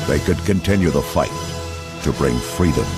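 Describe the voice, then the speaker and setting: Male storybook narrator: low voice, measured dramatic read for kids' adventure, loud score underneath. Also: lively intonation. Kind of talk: dramatic scripted children's read-along narration. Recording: loud music and effects underneath.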